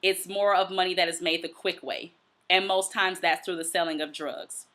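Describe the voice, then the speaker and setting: An American woman from Detroit giving reflective solo commentary as host, explaining deliberately and posing questions to the listener. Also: fairly low pitch, steady tone, fairly bright tone. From Detroit, United States. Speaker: host of a commentary and book review show.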